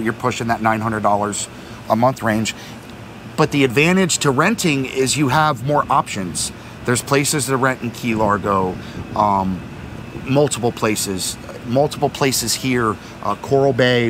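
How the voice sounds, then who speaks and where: A man's voice: mid-range voice, normal speed. Middle-aged man, casual talk, rambling with fillers, outdoor marina, noisy background. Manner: talkative, repeats words, many fillers. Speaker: vlog co-host. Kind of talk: casual explanatory chat. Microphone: fixed camera at a few meters. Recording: outdoor noise behind.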